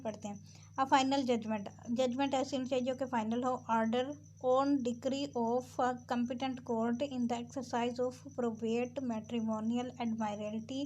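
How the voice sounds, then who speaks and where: A woman's voice: a little high, darkish tone, slight background noise. A woman with a Pakistani accent giving an explanatory lecture as a law tutor, reading formal text aloud and explaining it.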